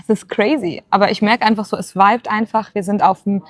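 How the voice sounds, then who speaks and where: A woman's voice: medium pitch, fairly even intonation, fairly quick, no echo, clean studio sound. A woman in her late twenties talking earnestly and encouragingly in a casual chat, with many filler sounds. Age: 20s. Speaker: co-host.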